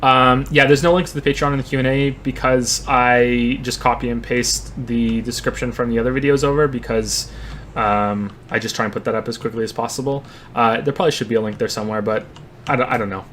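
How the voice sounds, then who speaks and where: Male streamer, mid-pitched, stop-start casual chat with frequent restarts. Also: steady tone, a bit bright, a little hiss or hum. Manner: halting, repeats words while thinking; plays a driving game while talking. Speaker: streamer answering viewer questions.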